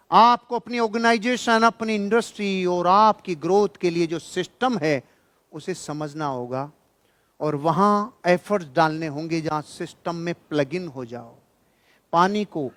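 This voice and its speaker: A man speaking as a motivational speaker at a seminar, emphatic and colloquial, asking rhetorical questions and answering them punchily. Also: sits high. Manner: colloquial, jokey asides to listeners. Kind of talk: motivational seminar talk to a live audience.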